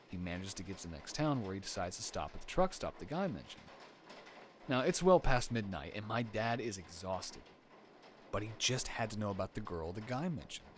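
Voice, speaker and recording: A man playing an easygoing, affectionate young boyfriend in an intimate roleplay audio, telling ghost stories in a casual, slangy way with thinking pauses. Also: lowish voice. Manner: casual, slangy, hesitant pauses, storytelling aloud. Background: ambient noise of a storm.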